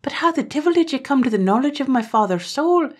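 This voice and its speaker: Woman putting on an excitable high-pitched Irish farmer. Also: fast talker. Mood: alarmed. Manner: wailing exclamations, folksy turns of phrase. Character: excitable, gullible Irish farmer man, easily horrified.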